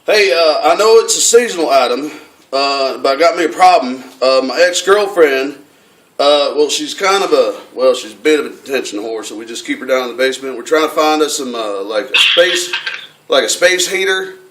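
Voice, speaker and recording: A man's voice: medium pitch, animated, normal speed, fairly bright tone, close to an everyday voice. A man putting on a folksy, rambling redneck with a hesitant drawl for a prank phone call. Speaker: prank caller. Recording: echoey room from far off, noisy.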